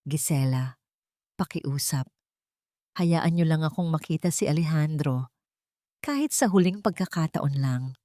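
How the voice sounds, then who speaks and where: A woman's voice: sits low, animated, a little slow, pauses often, warm tone, dry recording, studio quality. A woman voicing a meek, grieving young widow with a trembling, pleading manner, in an audio drama.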